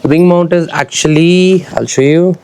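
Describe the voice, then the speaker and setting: A man's voice: on the high side, normal speed, background noise. A man with an Indian accent giving a casual, conversational product review, addressing his viewers directly as a vlogger. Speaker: motorcycle gear reviewer and vlogger.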